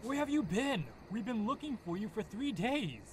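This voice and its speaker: A man's voice: extremely high pitch, big pitch swings, moderate pace, slight background noise. A man voicing an eager scholarly colleague in a comic dub, gushing with enthusiasm. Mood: excited. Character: eager, excitable scholarly colleague called Charles.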